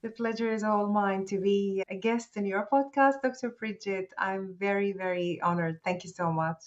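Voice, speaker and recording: A woman whose first language is Arabic talks in a polite, thoughtful, wandering way as an interview guest. Her voice sits at a middle pitch. The sound is dull and lo-fi. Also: normal speed. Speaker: guest, agency founder. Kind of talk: interview conversation.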